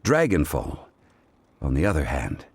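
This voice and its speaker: A very low-voiced, dignified gentleman in a video game. He is calm and grave, choosing formal, erudite words with measured courtesy. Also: creaky, slightly rough, no room sound, full character voice. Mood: calm, explanatory. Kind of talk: scripted video game dialogue.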